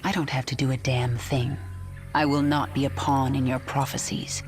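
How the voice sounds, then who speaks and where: A woman's voice: very deep voice, level tone, moderate pace. An actress playing a cold, vengeful, defiant swarm queen in a video game, curt, scornful and commanding. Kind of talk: dramatic video game acting. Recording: music behind.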